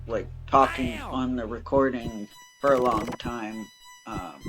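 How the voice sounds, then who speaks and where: Stuffy nasal guy hosting a let's play, chatty and rambly, mid pitch, game music going behind. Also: steady tone, slow. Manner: rambling, apologetic, chatty with fillers. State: stuffy nose from allergies; chest bothered from bonfire smoke. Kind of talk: casual chat while gaming. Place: home basement.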